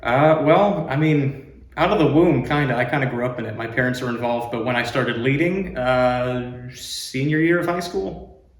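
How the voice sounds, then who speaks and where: A young man, a college student and youth leader, answering interview questions in a relaxed, slightly hesitant way. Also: mid-range voice, moderate pace. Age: young adult. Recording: echoey room.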